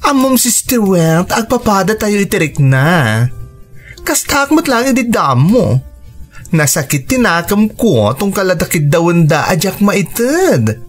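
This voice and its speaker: Animated Ilocano friend giving advice. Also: female voice, mid-range voice, measured pace, faint music behind. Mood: consoling. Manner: advising, emphatic. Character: encouraging, seasoned fellow migrant worker friend. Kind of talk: Ilocano radio drama acting.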